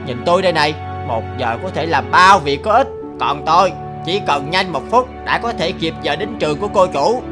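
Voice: mid-range voice, expressive, a little fast, lightly acted. A performer voicing a self-important, scornful male clock minute hand in a cartoon, dismissive and boastful, with music behind. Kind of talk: animated fairy-tale cartoon dubbing.

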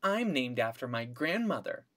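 A man's voice: mid-range voice, sing-song, moderate pace, bright, forceful tone. Guy doing a teasing little mouse girl from a kids book, snooty n matter-of-fact.

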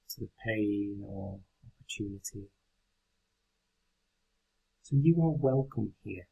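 A man leading a guided meditation in a gentle, unhurried, instructional way. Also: medium pitch, gravelly, breathy edge, low-quality recording.

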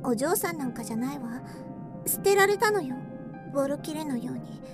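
A very high-voiced anguished young bride. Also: female voice, lively intonation, a little fast. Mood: bitter. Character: defiant, anguished young bride, wounded daughter. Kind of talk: radio drama acting. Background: music.

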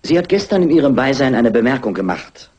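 A man playing a sceptical, persistent police inspector in a German radio crime drama, husky, dryly sardonic but officially polite. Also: mid-range voice, sing-song, fairly quick, darkish tone, lo-fi sound. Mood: insistent, official.

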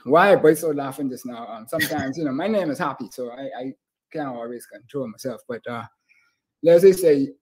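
A man's voice: medium pitch, brisk, crowd noise, low-quality recording. A middle-aged man with a Bahamian accent hosting a casual interview in loose, rambling phrases. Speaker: talk show host. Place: indoor room with bookshelves and screen, on a video call. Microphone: video call.

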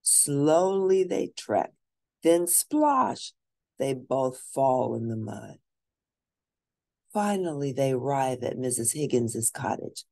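Low-voiced woman reading a storybook, very animated. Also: creaky. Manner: expressive, drawn-out emphasis for young listeners. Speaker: storybook reader. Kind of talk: animated children's storybook read-aloud.

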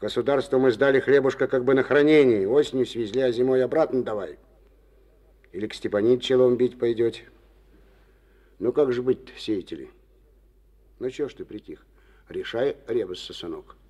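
An actor playing a proud, wounded middle-aged farm chairman and war veteran who talks in a gruff, folksy way, in a Soviet radio drama. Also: medium pitch, normal speed, pauses often, a little mellow, close to an everyday voice. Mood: stern. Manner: gruff and folksy, mocking then grave.

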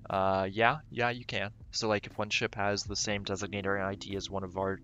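A man streaming a game, rambling casually and thinking aloud with lots of fillers, his voice going raspy. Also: fairly low pitch, level tone, normal speed, sharp tone, lo-fi sound. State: voice getting raspy. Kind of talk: casual gameplay livestream commentary.

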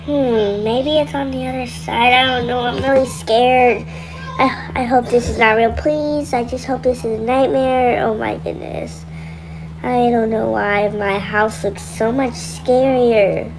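A woman's voice: a little high, fairly even intonation, a little slow, background noise. Hesitant, lonely scared boy, acted by a woman. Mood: uneasy. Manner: hesitant, sighing, muttering to himself. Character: scared, lonely young boy trapped in nightmares. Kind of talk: amateur voiced game roleplay story.

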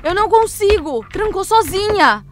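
A woman's voice: very high voice, sing-song, normal speed, slight background noise. An actress in an audio drama playing a young woman journalist, fearful but defiant, with an urgent, pleading manner. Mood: panicked.

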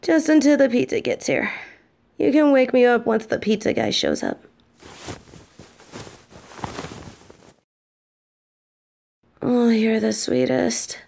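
A woman voicing a sweet, playful demon girlfriend who purrs, talking softly and affectionately in an audio roleplay. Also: a little high, very animated, normal speed, creaky. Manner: soft, affectionate, drowsy.

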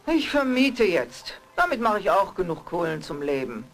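Woman acting a theatrical, lively ageing hostess. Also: on the low side, normal speed, soft background music. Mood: wistful. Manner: theatrical, gushing, sighing. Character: effusive, gossipy former nightclub hostess, no longer young. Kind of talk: horror radio play acting.

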